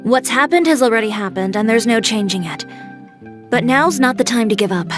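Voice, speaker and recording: A woman's voice: fairly high pitch, expressive, brisk, over music, natural voice. A woman playing a blunt, self-assured young fashion designer in a video game, composed and direct. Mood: firm.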